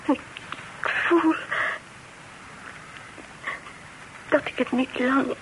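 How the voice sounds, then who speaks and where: An actress playing an ailing, remorseful daughter in a radio drama, speaking haltingly and faintly with a tearful weakness. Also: female voice, high voice, steady tone, fairly bright tone. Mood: frail, tender. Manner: halting, faint, often whispering. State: weak, tearful. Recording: noisy old radio play recording.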